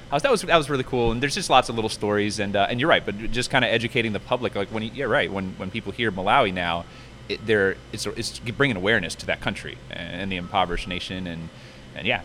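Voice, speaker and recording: A man with a fairly low voice talks quickly and chattily, like a restaurant manager in a casual interview. His delivery rambles along in a promotional way, recorded inside a restaurant with faint background noise. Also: a bit bright.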